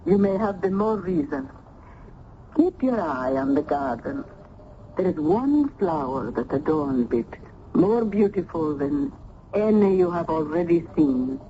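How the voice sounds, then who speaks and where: A woman's voice: on the low side, sing-song, a little slow. An actress playing an effusive, motherly old Italian nurse turned landlady in a radio drama, with an Italian accent. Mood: confiding. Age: older. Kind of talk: 1970s radio drama acting. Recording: muffled, noisy old radio broadcast.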